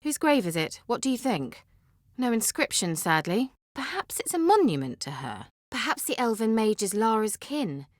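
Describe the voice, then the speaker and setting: Poised, expressive young sorceress. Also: female voice, on the high side, moderate pace, no echo, clean studio sound. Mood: earnest, measured. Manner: poised, articulate, teasing, theatrical delivery. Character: self-assured sorceress, coy, worldly and haughty. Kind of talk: video game character acting.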